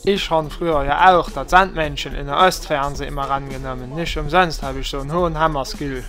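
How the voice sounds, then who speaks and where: A man joking around playfully in voice-acting outtakes, talking quickly. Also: on the high side, steady tone, fairly bright tone, soft background music.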